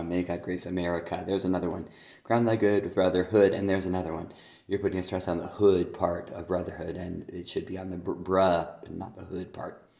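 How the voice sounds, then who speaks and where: Hoarse low-voiced man teaching, echoey room. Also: darkish tone. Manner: hesitant, many ums, speaks lyrics aloud slowly. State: hoarse from a cold, laryngitis. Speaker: songwriting teacher. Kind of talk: spoken feedback on a student's lyrics.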